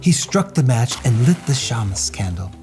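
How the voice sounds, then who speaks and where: A man telling a children's story aloud in an animated read-aloud style. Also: medium pitch, moderate pace, soft background music.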